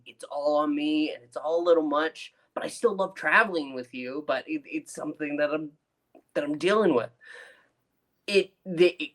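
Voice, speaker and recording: A man co-hosting a casual review podcast, thinking aloud in a halting way with frequent restarts. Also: fairly high pitch, fairly bright tone.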